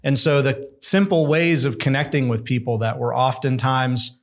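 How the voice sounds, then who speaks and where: Middle-aged guy in an interview, calm and candid, thinks before he talks, plain everyday voice. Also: medium pitch. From United States. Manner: measured, candid, thoughtful. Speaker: construction executive guest. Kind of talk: conversational interview.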